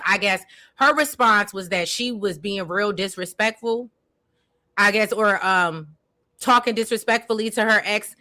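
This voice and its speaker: Bright woman reading viewer comments. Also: medium pitch. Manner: reads comments aloud, agreeable, quick affirmations. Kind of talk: casual recap chat.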